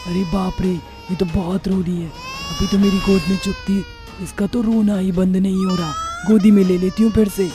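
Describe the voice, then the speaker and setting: A woman's voice: mid-range voice, little pitch movement, normal speed, music in the background, lightly acted. A woman voicing a man-eating witch turned doting mother in a Hindi cartoon story, exclaiming and talking to herself expressively. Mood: exasperated, softening.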